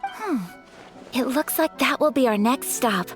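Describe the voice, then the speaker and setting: Gentle noble young lady, high and lilting, proper and polite, faint music under. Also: expressive, a little slow. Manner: formal, polite, soft-spoken. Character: young noblewoman, poised and courteous. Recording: game dialogue over music.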